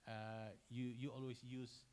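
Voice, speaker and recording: A middle-aged man from Sumatra with an Indonesian accent, answering a conference question unscripted, halting and full of fillers and self-corrections. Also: medium pitch, level tone, a little mellow, clean tone. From Kerinci, Sumatra, Indonesia. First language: Indonesian regional dialect.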